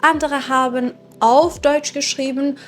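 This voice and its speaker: A young woman from Iran who teaches German, hosting her channel and presenting books in a clear, measured teaching style with occasional hesitations. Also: high voice, steady tone, slight background noise. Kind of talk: slow, clear teaching narration for learners.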